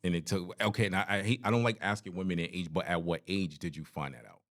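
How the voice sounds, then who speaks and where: Man, 40s, podcast host, casual slangy banter, deep voice, rapid talk. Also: a bit bright. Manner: laid-back slangy banter. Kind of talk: casual interview chat.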